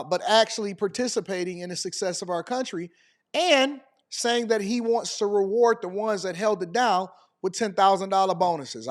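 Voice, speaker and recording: A high-voiced American man delivers unscripted commentary on current affairs. He talks emphatically, like a host making his case, and often checks that listeners agree. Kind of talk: off-the-cuff news commentary.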